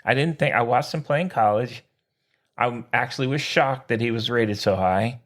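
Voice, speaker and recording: A man's voice: mid-range voice, moderate pace, a bit bright. A man co-hosting a sports podcast in casual banter, with an informal, exclamatory, slangy way of talking.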